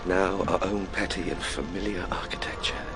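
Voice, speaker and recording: An actor playing a weary, intense Victorian gentleman time traveller, telling his tale dramatically in short, halting phrases for a radio drama. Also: deep voice, fairly even intonation. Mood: hushed, slowing. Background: music.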